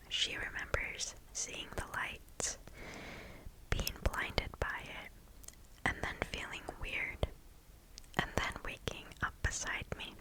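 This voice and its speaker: A woman reading a story aloud for ASMR in a hushed, unvoiced whisper, deliberate and very close to the microphone. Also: low-quality recording. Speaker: ASMR host.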